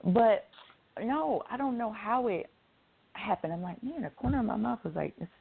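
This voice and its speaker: A woman's voice: a little high, lively intonation, moderate pace, vocal fry, breathy edge. A woman co-hosting a radio show in casual chat, informal, blunt and slangy. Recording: narrow tinny phone-like sound.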